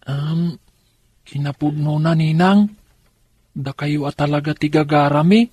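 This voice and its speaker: A woman's voice: low-pitched, unhurried, darkish tone, breathy edge, no echo, clean studio sound. A woman acting an earnest young grandchild in a radio drama, with an Ilocano accent. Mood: earnest, pleading.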